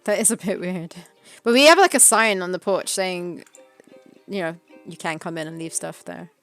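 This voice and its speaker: A woman's voice: lowish voice, normal speed, a bit bright, soft background music. A woman streaming as a game developer, chatting casually and reading out viewer messages, with frequent laughter. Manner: chatty, laughs often, reads chat aloud. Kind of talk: casual chat on a live stream.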